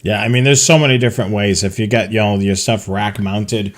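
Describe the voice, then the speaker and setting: A man co-hosting in casual chat, speaking in a loose, halting, informal way. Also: on the low side, fairly even intonation. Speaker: co-host.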